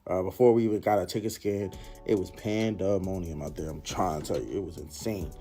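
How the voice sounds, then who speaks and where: A man's voice: deep voice, fairly even intonation, a little fast, mellow, faint music behind. A man giving a laid-back, slangy voice-over recap of his night out. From Philadelphia, United States. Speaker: concertgoer narrating his vlog.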